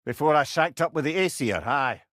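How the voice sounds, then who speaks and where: A man's voice: a little high, expressive, normal speed, fairly bright tone, no echo, clean studio sound. An actor playing a witty, learned old male sage in a video game, with a Scottish accent and a wry, eloquent way of talking. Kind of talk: video game character dialogue.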